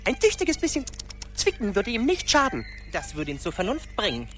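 An actress playing a tiny, peevish talking earwig soldier in a radio play, fussy and pert. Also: female voice, medium pitch, wildly swooping pitch, moderate pace, a bit bright, faint music behind. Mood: grumbling. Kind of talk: German radio play acting.

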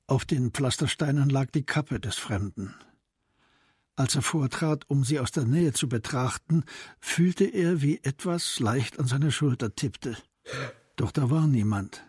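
Very husky male radio drama narrator. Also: mid-range voice, lively intonation, measured pace, darkish tone, dry recording, clean studio sound. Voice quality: very husky, breathy.

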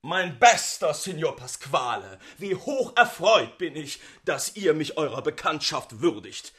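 A man voicing a flattering, theatrical Italian gentleman in an effusive storybook reading, stretching out words for emphasis. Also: fairly high pitch, unhurried, over music, voice pushed up. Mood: effusive flattery. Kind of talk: dramatic audiobook reading.

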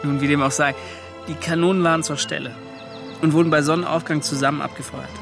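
An actor playing a brash, modest young adventurer in a radio play, telling his story in an excited, colloquial, scoffing way. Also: on the high side, fast talker, creaky. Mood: amused, scoffing. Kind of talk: German radio play acting. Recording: music behind the voice.

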